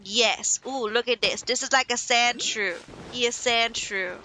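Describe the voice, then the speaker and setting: A woman's voice: fairly high pitch, a little slow, bright, forceful tone, rough recording. A young woman giving chatty, rambling, playful commentary as she plays a game. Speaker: gaming streamer. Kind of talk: casual let's play commentary. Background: game music.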